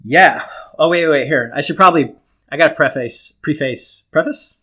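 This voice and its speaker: A man co-hosting a podcast with a very bright, forward voice. He chats casually and irreverently, joking crudely and swearing as he goes. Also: a little high, moderate pace. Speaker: podcast co-host. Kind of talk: casual comedic banter with swearing.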